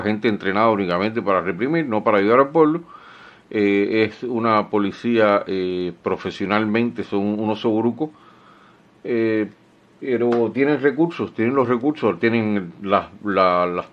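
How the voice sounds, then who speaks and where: Hombre cubano, voz grave, sarcástico e indignado, sonido apagado. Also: male voice, lowish voice. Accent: Cuban Spanish. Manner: sarcastic, indignant, many fillers and restarts. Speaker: political commentator. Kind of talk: solo video commentary. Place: small home room. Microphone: studio mic with headphones. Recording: dull, lo-fi sound.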